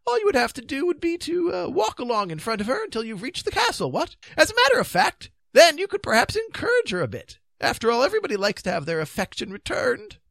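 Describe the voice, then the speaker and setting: A woman's voice: sits high, wildly swooping pitch, fast talker, vocal fry, hardly any pauses, fairly bright tone, no room sound, voice pushed up, heavily acted. A woman voicing a vague, kindly, lovesick old king in a storybook reading, apologetic and trailing off in little questions. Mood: coaxing. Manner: vague, apologetic, trails off with "what?" and "don't you know".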